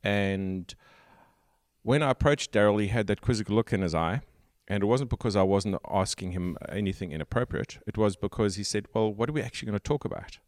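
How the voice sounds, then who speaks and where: A man with a South African accent hosting a tech podcast, explaining at length in a casual way with pauses and fillers. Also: fairly low pitch, no echo, studio quality. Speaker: podcast host.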